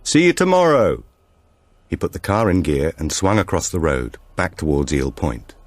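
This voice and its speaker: A man reading a supernatural thriller audiobook in a measured, suspenseful storytelling manner. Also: a bass voice, a little mellow, no echo. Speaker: audiobook narrator. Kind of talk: scripted narration of a supernatural thriller.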